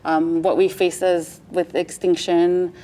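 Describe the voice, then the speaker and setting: A woman's voice: fairly low pitch, flat delivery, slow pace, dark tone. A woman, a guest mother, chatting casually in a TV interview and laughing as she tells her stories. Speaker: guest, mother of the powwow princess.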